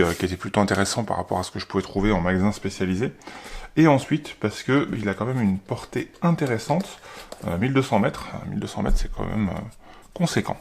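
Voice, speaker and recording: A man's voice: fairly low pitch, vocal fry, slight background noise. A man giving a casual, unscripted product unboxing, informally thinking aloud as he handles the item.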